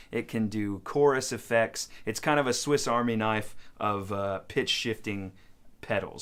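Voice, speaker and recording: A man's voice: mid-range voice, a bit bright. A man hosting a gear demo, explaining things in an informal, conversational way as a casual tutorial. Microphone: studio microphone into audio interface.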